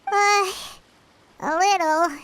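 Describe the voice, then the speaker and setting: A woman voicing a tiny childlike fairy companion in a video game, squeaky, anxious and earnest. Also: extremely slow, a bit bright, full character voice. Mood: nervous. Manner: refers to herself in third person, earnest. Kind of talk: video game character acting.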